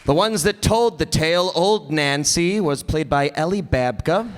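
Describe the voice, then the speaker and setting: A man's voice: a little high, lively intonation, a little slow. A man hosting a live stage show, announcing casually and effusively with some stumbles. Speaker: announcer, host of radio players troupe. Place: stage before live audience. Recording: live room, crowd, faint noise.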